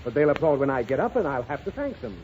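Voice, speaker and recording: Man acting flustered irritable father, animated intonation, pompous, 1930s radio comedy, muffled hissy recording. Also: medium pitch, quick pace, lightly acted. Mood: exasperated. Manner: irritable, stammering, pompous when orating. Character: flustered, exasperated father rehearsing a banquet speech.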